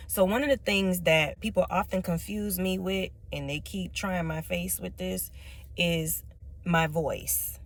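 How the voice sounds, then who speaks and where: A woman with a notably deep, rich speaking voice, well below the usual range for women. She talks straight to her viewers in a firm, emphatic way, restating each point and checking in with a quick tag question. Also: fairly even intonation. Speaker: vlogger. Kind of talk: direct-to-camera personal talk.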